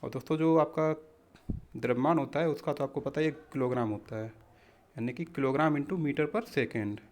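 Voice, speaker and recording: A man's voice: medium pitch, normal speed. A man teaching exam practice questions, explaining step by step in an instructional way and often addressing listeners as friends. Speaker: exam-prep physics teacher.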